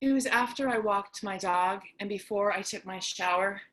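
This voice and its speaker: A woman's voice: medium pitch, normal speed, a bit bright, lightly acted, poor audio. A woman playing a lone, anxious apocalypse survivor in a theatrical stage monologue, wry and conversational. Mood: haunted, subdued.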